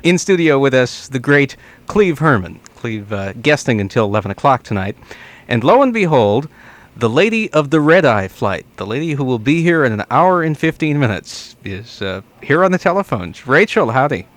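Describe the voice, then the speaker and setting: A man's voice: medium pitch, big pitch swings, moderate pace. A man hosting a casual call-in radio show from a studio, speaking into a studio microphone. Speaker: radio host.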